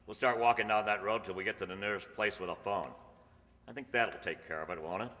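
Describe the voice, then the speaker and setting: A tough undercover cop, played by a man in a radio crime play, with a low, very sharp and forceful voice. He is curt and dry, gives orders without bending, and sounds out across an echoey hall. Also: quick pace. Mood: coolly threatening. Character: tough, unbribable undercover police detective holding crooks at gunpoint. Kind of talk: old-time radio crime drama acting. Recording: echoey hall stage recording.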